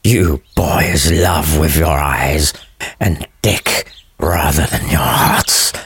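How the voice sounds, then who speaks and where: A man voicing a blunt, weary old friar in a storybook retelling, scolding slowly and crudely. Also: a bass voice, vocal fry, fairly bright tone, slightly rough, no room sound, voice pushed down, exaggerated voice.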